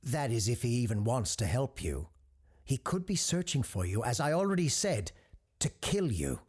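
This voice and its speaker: A man's voice: mid-range voice, very animated, moderate pace, a little mellow, slightly rough. An actor playing an earnest, cautious male host of a paranormal radio call-in show in an audio drama. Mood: grave warning.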